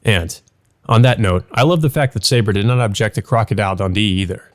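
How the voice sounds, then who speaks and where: A man's voice: on the low side, quick pace. A man hosting a let's play, reading a visual novel aloud and adding casual, musing asides to himself.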